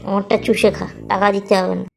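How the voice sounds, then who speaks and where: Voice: medium pitch, level tone, fast talker, vocal fry, lightly acted. An actor voicing a foul-mouthed, lazy man in a comedy cartoon, whining and blustering in exasperation. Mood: irritated, mocking. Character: foul-mouthed lazy man griping about summer heat. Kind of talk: Bengali comedy cartoon voice acting.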